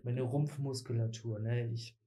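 A man's voice: mid-range voice, fairly even intonation, a little slow, mellow, a little hiss or hum. A man of thirty talking casually, colloquial, often ending points with a tag question. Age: 30s. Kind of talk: casual chat.

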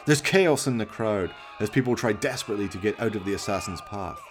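A man's voice: lowish voice, animated, brisk, a crowd in the background, noisy background. A man reading dramatic scripted narration for a radio play.

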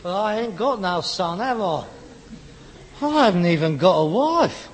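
A sardonic London rag-and-bone man, the exasperated son in a sitcom. His voice is high and swoops widely, in a slightly noisy, reverberant old recording. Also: expressive, unhurried. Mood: aggrieved. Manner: mocking, sardonic. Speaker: actor in a comedy. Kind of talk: British sitcom acting.

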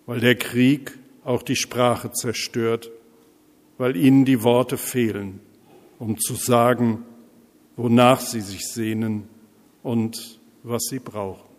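Very slow solemn man praying in echoey church. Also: mid-range voice. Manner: measured, deliberate phrasing with pauses. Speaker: pastor leading intercessory prayer in church. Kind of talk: solemn liturgical prayer. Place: large reverberant church interior. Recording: echoey church hall, long reverb.

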